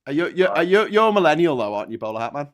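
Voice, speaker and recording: A man's voice: a little high, big pitch swings, rapid-fire. Joking, sweary Liverpudlian man. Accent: Liverpool English. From Liverpool, England. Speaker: panelist on a live online chat show. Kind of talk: casual political banter and anecdotes.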